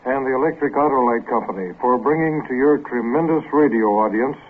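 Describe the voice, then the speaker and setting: A man with a middle-pitched, husky voice delivers a formal prepared speech. The sound is a muffled, narrow old radio recording. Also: fairly quick. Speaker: organisation president presenting an award.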